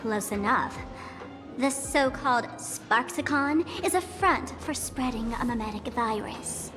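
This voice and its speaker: A woman's voice: fairly high pitch, unhurried, big-room sound, over music, lightly acted. An actress voicing a playful, mischievous young woman in a video game cutscene, with a teasing, theatrical manner. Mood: confident. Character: playful, mischievous young woman running a tavern gathering intel.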